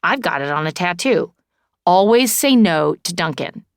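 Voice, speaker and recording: A woman's voice: on the low side, lively intonation, moderate pace, smooth, no echo, clean studio sound. A woman voicing an easygoing, boyish young man, earnest and a little goofy, in audiobook dialogue. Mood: wry, sympathetic.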